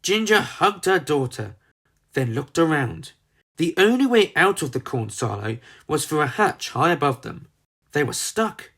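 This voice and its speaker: Storybook narrator, theatrical and emphatic, very lively pitch, unhurried delivery. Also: slightly rough. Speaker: storyteller narrating a children's book. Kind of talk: dramatised storybook narration with character voices.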